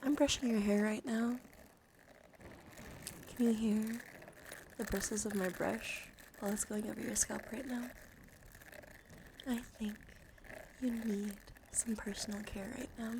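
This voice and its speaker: Female ASMR livestream host: soothing, intimate whispery delivery, creaky and slightly strained morning voice, close mic with audible background noise. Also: mid-range voice, steady tone, unhurried, pauses often. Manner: hushed, often dropping into whispers; gentle, soothing, affectionate. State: voice slightly strained from just waking up. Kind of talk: soft ASMR, chatting to live viewers.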